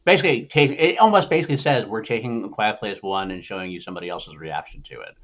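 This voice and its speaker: A man's voice: on the low side, expressive, fast, highs cut off. A man hosting a casual film discussion podcast, talking in an emphatic, rambling, sweary and opinionated way. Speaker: podcast host.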